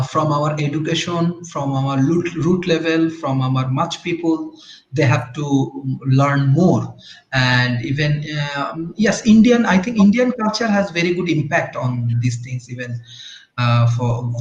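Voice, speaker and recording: A man talks as a guest on a talk show, stopping often on fillers and restarting his sentences. His voice sits slightly high. It comes through a roomy, dull-sounding remote connection. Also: a little hiss or hum. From Bangladesh. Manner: halting, many fillers and restarts.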